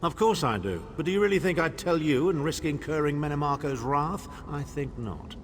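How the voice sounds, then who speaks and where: A haughty older overlord for a fantasy video game, pitched on the high side for a male voice and swinging widely in tone. He is scornful and condescending, with theatrical delivery and faint noise behind him. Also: lively intonation, moderate pace. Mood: smug, mocking. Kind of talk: video game character acting.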